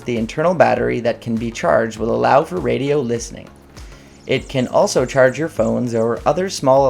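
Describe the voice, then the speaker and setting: Male narrator for scripted product countdown: creaky mid-range voice, formal measured phrasing, light music bed. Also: medium pitch, a little mellow. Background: background music.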